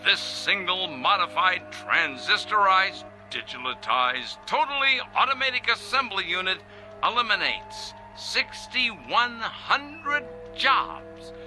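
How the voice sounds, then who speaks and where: Radio drama role: smug corporate chief, very forceful and bright tone, higher-pitched, showman's pomp, sells automation to shareholders. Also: sing-song, faint music behind, heavily acted, low-quality recording. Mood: gloating, triumphant. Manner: pompous, showy salesman's delivery with grand pauses. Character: pompous, self-satisfied male factory boss, zealous for automation. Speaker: company head addressing shareholders. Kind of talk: radio drama acting as a corporate video presentation.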